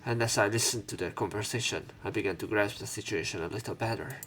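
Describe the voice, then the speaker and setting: A man with a lowish voice narrates a visual novel for a let's play. He reads the story dramatically and drops into casual commentary. Faint game music plays behind him. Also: fast, fairly bright tone.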